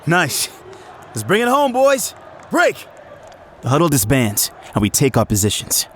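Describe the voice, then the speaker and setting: A man's voice: fairly high pitch, wildly swooping pitch, measured pace, fairly bright tone, a little hiss or hum, exaggerated voice. Intense young male quarterback narrating. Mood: confident, fired up, then tense, panicked. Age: young adult. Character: young male college quarterback, frazzled, earnest first-person narrator. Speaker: narrator and lead character. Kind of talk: dramatic first-person audio drama narration.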